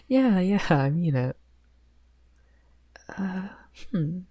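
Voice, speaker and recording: Woman acting as shy young male best friend, ASMR roleplay, mellow dark tone, unhurried, hesitant, apologetic, giggly. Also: lowish voice, breathy edge, heavily acted. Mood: shy, friendly, then shy excitement.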